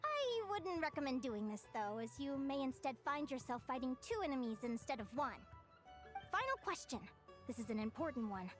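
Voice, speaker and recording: A woman's voice: high-pitched, big pitch swings, normal speed, faint music behind. A woman voicing an eager, bubbly young guild advisor who lectures with gushing enthusiasm, in a video game. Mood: lecturing. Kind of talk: game voice acting. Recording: game soundtrack behind.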